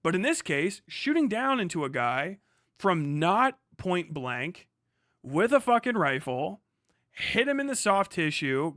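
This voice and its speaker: A man's voice: high voice, sharp tone, no room sound, studio quality. A man hosting a livestream, giving casual commentary in a profane, rambling way with emphatic explanations. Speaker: livestream host.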